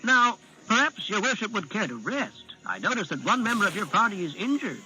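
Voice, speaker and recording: A bearded dwarf leader with a raised, insistent voice, as a man puts him on. He is blunt and commanding, pressing his point hard in this spoken storybook, and it all comes across brightly. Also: high voice, big pitch swings, brisk, soft background music, voice pushed up, exaggerated voice, low-quality recording. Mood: fawning. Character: High Froman Darrow, a gruff bearded dwarf leader.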